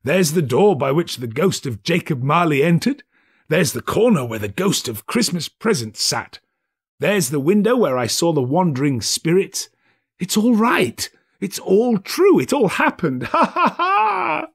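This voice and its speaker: A man acts out a giddy old miser bursting with joy in a storybook tale. The pitch is pushed high and swings wildly, with a husky edge. The delivery is excitable, breathless and exclamatory. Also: unhurried, exaggerated voice. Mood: giddy glee.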